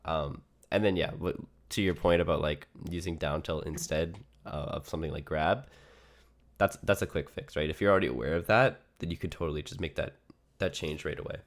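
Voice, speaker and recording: Male gaming coach, low-pitched voice worn by a cold, casual one-on-one delivery. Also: a little fast. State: sick, worn voice. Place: remote online voice call. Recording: live online voice call.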